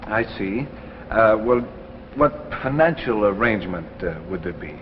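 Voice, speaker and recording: An actor playing an eager, polite aspiring writer in a 1950s radio drama, hesitant, stammering and deferential. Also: male voice, on the low side, expressive, normal speed, slight background noise, lightly acted. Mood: hesitant, curious. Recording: muffled old radio recording with reverb.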